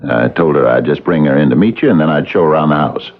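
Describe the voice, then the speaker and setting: A man's voice: extremely low pitch, expressive, quick pace. An actor in an old-time radio drama playing a genial married man, coaxing and soothing in a folksy, hesitant way. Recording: muffled old radio broadcast, music behind.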